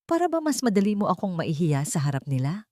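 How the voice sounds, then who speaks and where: A woman's voice: on the low side, sing-song, fast, a little mellow, no room sound, studio quality. A woman narrator voicing an anxious, wounded young heroine in an audiobook romance, pleading and emotional. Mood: hurt, questioning.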